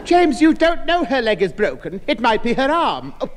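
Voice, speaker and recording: Fussy man with swooping pitch. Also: huge pitch swings, moderate pace, heavily acted. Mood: flustered placating. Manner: fussy, flustered. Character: fussy, flustered adult man, placating. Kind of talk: 1960s radio sitcom acting. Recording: reverberant hall, old radio, audience.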